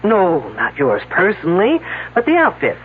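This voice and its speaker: Wry, animated lady detective on tinny radio. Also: lowish voice, normal speed, husky, heavily acted. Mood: brisk, probing. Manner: wry, sarcastic, plain-spoken. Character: brisk, sardonic older female detective, wry and unflappable. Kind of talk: 1970s radio drama acting. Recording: tinny, noisy old radio broadcast.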